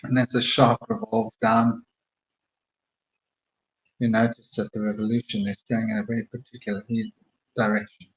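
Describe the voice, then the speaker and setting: A man slowly talking listeners through a guided meditation with calm, murmured instructions. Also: mid-range voice, rough recording. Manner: slow, soothing, murmured instructions.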